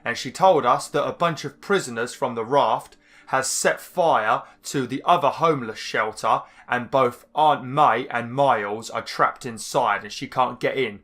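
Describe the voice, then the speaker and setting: A man hosting a let's-play, slightly hoarse and chatty as he rambles through game menus. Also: mid-range voice, a little slow, a bit bright. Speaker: gaming channel host. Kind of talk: casual let's-play commentary.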